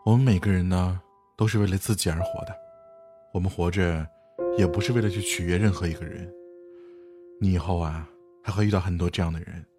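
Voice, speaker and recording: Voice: deep, soothing man. Role: actor as kind father figure. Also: steady tone, a little fast, pauses often, music in the background. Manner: soothing, patient, coaxing. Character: kind, gentle man stepping in as a boy's father. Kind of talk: radio drama acting.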